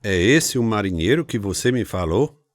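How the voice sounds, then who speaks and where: An actor with a Brazilian accent playing a curious, doubtful brother in a radio drama. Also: male voice, lowish voice, animated, normal speed, over loud music, close to an everyday voice. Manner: questioning. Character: curious, doubtful twin brother of a new convert.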